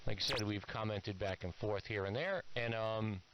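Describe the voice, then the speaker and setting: A man's voice: fairly low pitch, normal speed, lo-fi sound. A man from New York talking casually as an amateur radio operator over shortwave, chatty and rambling. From New York, USA. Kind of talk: casual ham radio exchange. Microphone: transmitted over AM shortwave radio. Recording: hissy AM shortwave radio transmission.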